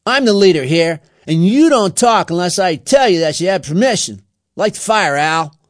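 Man acting a bossy, high-pitched boy gang leader. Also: big pitch swings, slow pace, dry recording, studio quality, heavily acted. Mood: bossy, threatening. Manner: domineering, commanding. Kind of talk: children's storybook character voice.

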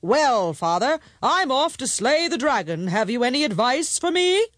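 A woman's voice: sits high, very animated, normal speed, no room sound, studio quality, voice pushed up. Woman as a brash young would-be dragon slayer. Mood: eager. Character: confident, sceptical young sons off to slay a dragon.

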